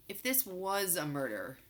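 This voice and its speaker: A measured, reassuring father from a children's novel, read by a woman. The voice is bright and clear-edged, reasoning calmly with his child. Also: lowish voice, heavily acted. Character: calm reasoning father, middle-aged man.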